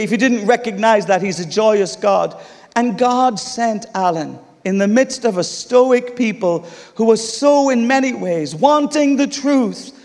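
A man with an English accent preaching a sermon, telling a funny story with laughs before turning to teaching. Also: sits high. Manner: humorous, animated storytelling with laughter. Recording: long reverb of a large hall.

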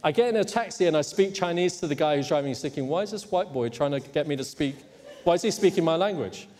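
A man's voice: fairly high pitch, animated, fast talker, a little mellow. A man from Hong Kong preaching a sermon emphatically, teasing his congregation at times. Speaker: pastor. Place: church. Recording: long hall reverb.

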